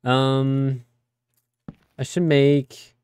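A young man with a raspy voice from a cold, rambling casually with many fillers as he plays a game. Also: medium pitch, flat delivery, drawn-out words, darkish tone, no room sound. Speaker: let's play host. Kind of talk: casual gameplay commentary.

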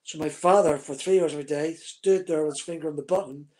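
A husky-voiced man in his fifties tells his story in close detail, gesturing as he goes. His voice sits a little high for a man. He is speaking into a webcam on a video call from a room at home. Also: steady tone, fast, warm tone. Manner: vivid, detailed storyteller, talks with his hands. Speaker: guest, former racing driver.

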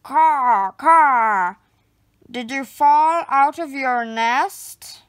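A loud, nosy picture-book crow, put on by a woman reader. It caws and questions emphatically, slow and deliberate. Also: high-pitched, full character voice. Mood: loud, inquisitive. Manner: cawing, emphatic. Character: loud, inquisitive crow from a storybook.

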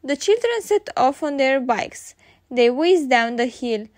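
A woman reading children's stories aloud in an expressive way, singsong in the refrains. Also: high-pitched, a little slow. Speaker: children's storybook reader. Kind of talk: read-aloud narration for young children.

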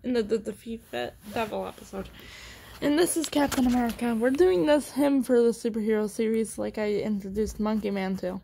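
A woman giving a casual, halting show-and-tell at home into a handheld phone, often restarting her phrases. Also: fairly high pitch, vocal fry, dark tone, a little hiss or hum. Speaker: amateur video creator and character voicer. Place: room at home.

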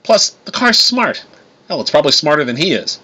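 A man reading the part of a blunt, sarcastic, sharp-tongued woman in an audiobook story. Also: fairly high pitch, sing-song, a little fast, breathy edge, pitched above the performer's own voice, exaggerated voice. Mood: sardonic. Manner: sardonic, blunt, sweary. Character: sharp-tongued sarcastic woman, self-described fake goddess.

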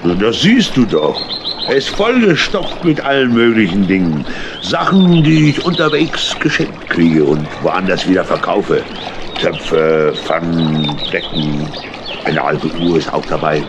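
An actor playing a jolly, boastful old tramp in a children's audio drama, hearty, folksy and full of exclamations. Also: male voice, sits low, sing-song, measured pace, background noise, full character voice. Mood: chummy, jovial.

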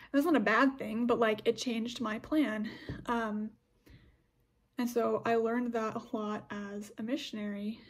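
Breathy young woman chatting casually. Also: a little high. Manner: chatty, rambling with many restarts and fillers. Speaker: podcast host. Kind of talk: casual scripture discussion podcast.

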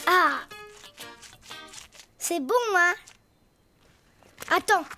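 A performer voicing a lively, imaginative little boy donkey in a children's cartoon, childlike and playful. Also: very high-pitched, very slow, exaggerated voice. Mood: cheerful. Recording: loud music behind.

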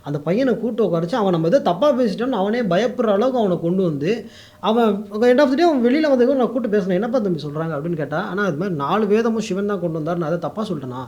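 A man's voice: sits high, lively intonation, fast talker, a little mellow, muffled recording. A man with a Tamil Nadu accent giving opinionated interview answers as a guest commentator, mixing in English words. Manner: code-mixes English words into speech.

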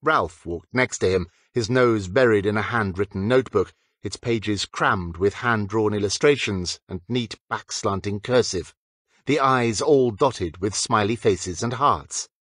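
A man's voice: lowish voice, unhurried, a bit bright, dry recording. A man reading a scripted story as an audiobook narrator.

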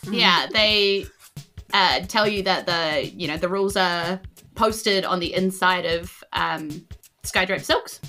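A woman's voice: fairly low pitch, slow pace, bright, forceful tone, faint music behind. A woman improvising narration as game master of a tabletop game, informal and descriptive.

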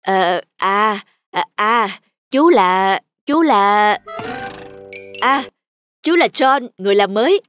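A woman's voice: mid-range voice, lively intonation, takes it slowly, pauses often, a bit bright. A woman voicing a sheepish, kind-hearted homeless man in a cartoon, with a hesitant manner. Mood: flustered. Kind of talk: cartoon voice acting. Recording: music behind the voice.

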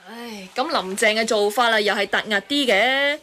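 Bright, outspoken woman. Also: a little high, normal speed. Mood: commenting. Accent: Hong Kong Cantonese. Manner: chiding, explanatory. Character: outspoken, sensible woman who scolds gently. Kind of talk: radio drama acting.

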